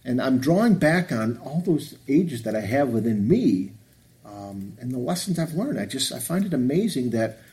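A man's voice: medium pitch, big pitch swings, moderate pace, a little mellow. A man in his fifties hosting a podcast, talking in a reflective, rambling way with many restarts. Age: 50s. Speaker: podcast host. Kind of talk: casual chat.

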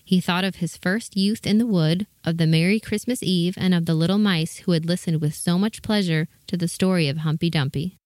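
Woman narrator, lowish pitch, measured storybook reading, expressive, dry close recording. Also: no room sound.

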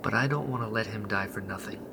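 A man playing an earnest, protective rebel leader in a sci-fi radio drama, speaking reassuringly with breathy pauses. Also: on the low side, noisy background, lightly acted. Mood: somber, determined.

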